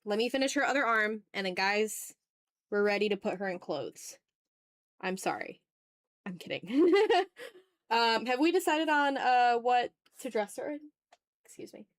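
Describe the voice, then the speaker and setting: A woman with a fairly high voice hosts an art livestream. She rambles casually, addressing chat as she works. The sound is very dry and close, with hardly any room in it. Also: dry recording. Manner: informal, rambling, talking to chat. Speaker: art streamer and ASMR YouTuber hosting a livestream.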